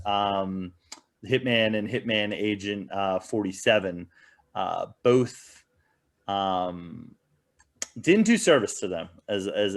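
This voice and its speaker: A man giving casual opinions as a podcast panelist, in a rambling, hedging way. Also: lowish voice. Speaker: podcast panelist reviewing films.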